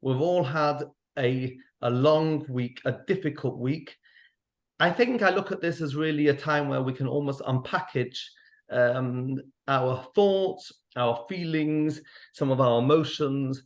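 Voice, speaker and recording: A man with a Mancunian accent, hosting and speaking gently as a therapist, with a soft, halting delivery and many pauses. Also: medium pitch. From Manchester, England. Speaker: host and therapist. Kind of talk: gentle supportive talk to teens.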